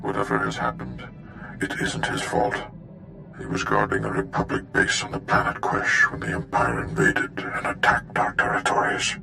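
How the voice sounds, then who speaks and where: An actor playing a grave, formal alien Jedi master in a video game, worried for his apprentice, with a deep, gravelly voice. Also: big pitch swings, moderate pace, bright, forceful tone, faint music behind, exaggerated voice. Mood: grave, urgent. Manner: formal, solemn. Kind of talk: video game cutscene acting.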